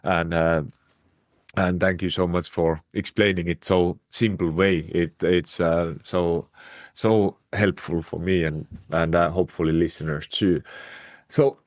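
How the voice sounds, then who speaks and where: A man's voice: low-pitched, flat delivery, measured pace. A man from Finland with a Finnish accent, hosting a podcast and asking questions hesitantly. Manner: hesitant, many fillers and restarts. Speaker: podcast host.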